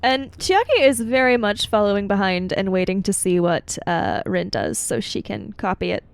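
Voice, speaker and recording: A woman's voice: medium pitch, sing-song, normal speed, gravelly, smooth, no room sound. A woman playing a tabletop roleplaying game, casually narrating what her character does in the third person.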